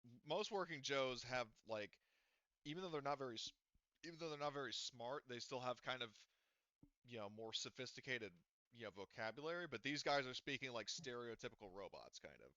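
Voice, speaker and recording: A man's voice: mid-range voice, animated, a little fast, pushed and bright, no echo. A man running a tabletop game as game master, rambling loosely and swearing casually over a dull lo-fi mic.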